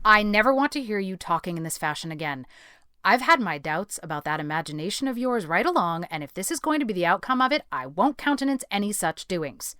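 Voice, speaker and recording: A woman's voice: medium pitch, big pitch swings, a little fast, bright, forceful tone, smooth, no echo, clean studio sound. A woman playing a stern, practical older guardian in a storybook reading, with a firm, scolding, clipped manner.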